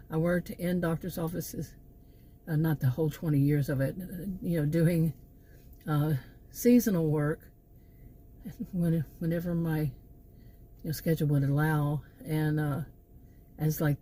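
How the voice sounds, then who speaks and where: A woman's voice: sits low, dark tone, a little hiss or hum, poor audio. A middle-aged woman with a Texan accent, talking in a meandering opinion monologue full of asides and restarts. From Texas. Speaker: channel host.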